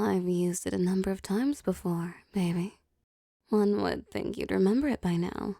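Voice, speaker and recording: Slow, mellow vampire CEO played by a woman, doting. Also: medium pitch, gravelly, no echo, studio quality. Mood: seductive, teasing. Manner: slow, teasing, pampering, softly commanding. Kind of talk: intimate roleplay audio acting.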